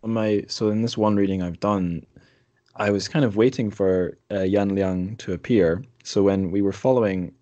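A man's voice: fairly low pitch, fairly even intonation, normal speed, warm tone, no room sound, muffled recording. A man hosting a podcast, talking casually about books in an interview. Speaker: podcast host. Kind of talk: casual literary discussion.

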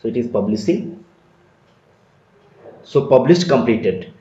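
A man giving a steady step-by-step screen-recorded software tutorial, repeating phrases as he explains. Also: mid-range voice, slow pace. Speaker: tutorial instructor. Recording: dull lo-fi roomy sound.

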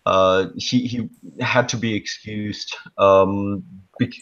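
German-accented man, low voice, lo-fi call. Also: fairly even intonation, slow, a bit bright. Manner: hesitant, many ums and restarts. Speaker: guest. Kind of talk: casual interview chat. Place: dark room at night. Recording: dull lo-fi call audio.

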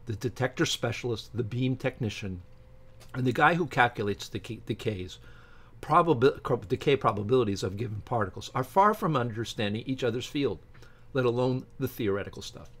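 A middle-aged man reads aloud haltingly from a book and breaks off to give his opinionated, informal commentary as a channel host. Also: medium pitch, animated, a bit bright, husky. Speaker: YouTube science channel host. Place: small home office with bookshelves, indoors.

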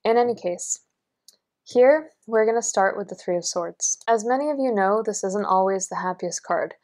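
A woman's voice: mid-range voice, moderate pace, a little mellow. A young woman giving a tarot reading in an earnest, encouraging way, her voice a little worn. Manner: earnest, encouraging, lots of fillers. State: losing her voice. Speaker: tarot reader. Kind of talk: pick-a-card tarot reading. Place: indoors at a table, only hands seen.

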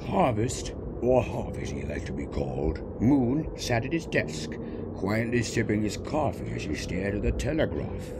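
Low-voiced man narrating over loud noise. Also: very animated, unhurried. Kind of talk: scripted story narration. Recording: loud ambient noise behind voice.

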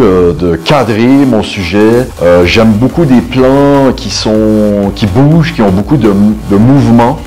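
A middle-aged man talking about himself in an interview, hesitating and pausing between short phrases. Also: fairly low pitch, a little mellow. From Canada. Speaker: videographer, photographer and musician being interviewed. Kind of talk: interview self-portrait. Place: indoor photo studio. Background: background music.

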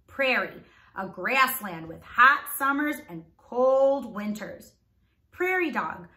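Female primary teacher, read-aloud for little kids; bright, very expressive delivery, roomy echo. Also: a little high. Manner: animated, enunciated, prompting listeners with questions. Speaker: teacher reading a children's book aloud. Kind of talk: read-aloud lesson for young children.

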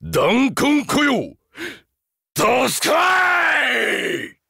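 A man's voice: extremely high pitch, wildly swooping pitch, very slow, creaky, pushed and bright, breathy edge, dry recording, shouting, clean studio sound, heavily acted. A man voicing a huge, loyal, humble beast-man soldier in a game, haunted and earnest, speaking with stiff archaic military formality. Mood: battle shouting. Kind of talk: Japanese game character voice acting.

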